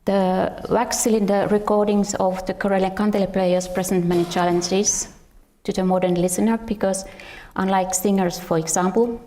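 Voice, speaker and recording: A woman's voice: medium pitch, flat delivery, normal speed, dark tone. A woman with a Finnish accent gives an academic lecture, partly read from a script, stumbling and repeating words now and then. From Finland. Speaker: researcher and musician presenting at a conference.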